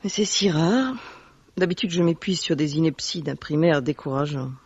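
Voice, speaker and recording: An actress playing an effusive, self-pitying, elegant lady translator in a radio mystery, husky, chatty and theatrical. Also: low-pitched, moderate pace, a little mellow, no room sound. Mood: world-weary. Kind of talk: French radio mystery drama acting. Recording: dull vintage-style radio recording.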